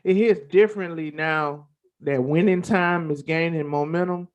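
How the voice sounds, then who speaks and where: A man's voice: a little high, moderate pace. A man on a talk show panel, casually debating sports in a questioning, conversational way. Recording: slightly dull, lo-fi audio.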